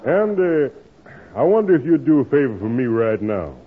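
Lively, husky radio reverend. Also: male voice, medium pitch, normal speed. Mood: genial. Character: genial, persuasive adult male reverend. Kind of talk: 1940s radio comedy acting. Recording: muffled old radio broadcast.

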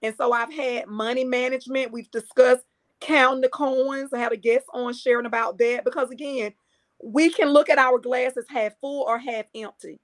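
A woman's voice: high voice, steady tone, normal speed. A middle-aged woman, a coach and speaker, talking earnestly in a podcast interview and repeating her points for emphasis. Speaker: guest, empowerment coach.

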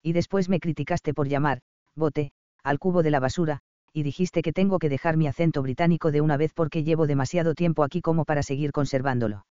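A man's voice: a little high, fairly even intonation, fast talker, dark tone, clean tone, no room sound, close to an everyday voice. A man voicing a bossy, teasing young male doctor with dry, smug humor, read in a dull, dry recording. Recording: slightly dull dry audio.

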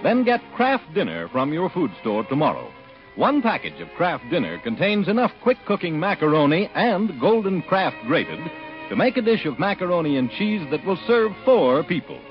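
Lively American radio ad man, muffled. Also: on the high side, moderate pace. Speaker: commercial announcer. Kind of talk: 1940s radio ad read. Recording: dull old radio recording, faint music.

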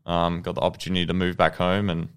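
A young Australian man in his twenties, a guest telling his story in a relaxed, rambling way with lots of ums and restarts. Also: sits low, fast, clear, resonant tone. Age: 20s. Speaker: podcast guest, goalkeeper. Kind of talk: casual interview storytelling.

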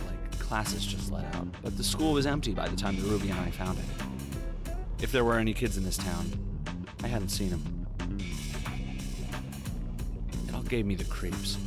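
A man's voice: fairly low pitch, lightly acted. An actor playing a wry, world-weary private detective narrating his case in an audio drama. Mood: wry, brooding. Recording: guitar music under the voice. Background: plucked guitar music.

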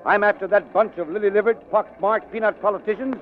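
An actor playing a tyrannical, scheming newspaper managing editor in a sarcastic, bossy 1940s radio drama style. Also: male voice, high-pitched, close to an everyday voice. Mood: barking, irritated. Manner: sarcastic, bossy, fast-switching from barking to wheedling. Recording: muffled narrow-band 1940s radio recording.

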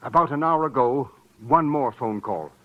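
An actor playing an earnest, self-important rich fiancé, polite and precise, in a 1940s-style radio drama. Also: male voice, mid-range voice, moderate pace, close to an everyday voice. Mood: anxious, earnest. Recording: dull, faintly noisy old radio recording.

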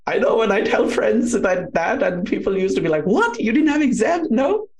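A man's voice: sits high, sing-song, moderate pace, a little mellow. A man from Nepal with a Nepali accent, talking conversationally as an interview guest, rambling and often saying "you know". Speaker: podcast guest, Ayurvedic doctor. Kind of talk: conversational personal storytelling.

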